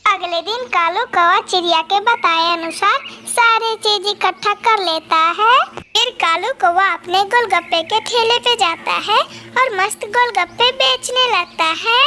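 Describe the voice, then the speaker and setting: A woman narrates a scripted children's cartoon story. The voice is strikingly high and bright. A little noise can be heard in the background. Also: unhurried.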